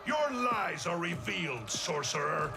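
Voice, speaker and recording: An actor playing a stern, righteous warrior in a video game, with a gravelly voice and a dramatic delivery. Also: male voice, a little high, very animated, unhurried, full character voice. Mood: stern, defiant. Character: stern, righteous male warrior defying evil. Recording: loud cinematic music behind.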